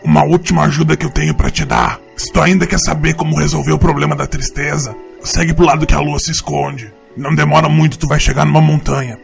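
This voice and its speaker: A man with a Brazilian accent voicing a rustic forest troglodyte in an audio drama, a gruff but fond mentor with a gravelly voice and plain, ungrammatical speech. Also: on the high side, flat delivery, quick pace, few pauses, soft background music. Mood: fond farewell. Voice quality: gravelly, creaky. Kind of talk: audio drama character acting.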